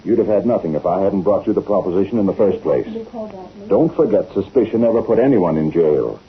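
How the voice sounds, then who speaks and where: Deep, domineering schemer, old radio. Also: male voice, expressive, brisk. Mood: coolly controlling. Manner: commanding, curt. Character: corrupt politician, cool, scheming, domineering man. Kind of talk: 1940s radio acting. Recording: old narrow-band radio recording.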